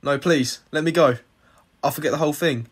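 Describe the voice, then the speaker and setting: A man's voice: fairly high pitch, brisk, husky. A man playing a terrified victim begging for his life in an amateur audio drama. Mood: terrified, pleading. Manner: pleading.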